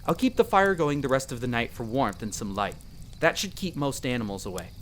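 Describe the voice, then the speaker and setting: Young elf explorer acted out, animated swooping pitch, mid-pitched, upbeat, protective, audio roleplay, clear background ambience noise. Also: fairly quick, natural voice. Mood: warm, reassuring. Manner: animated, reassuring, chatty. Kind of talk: roleplay audio drama addressed to the listener.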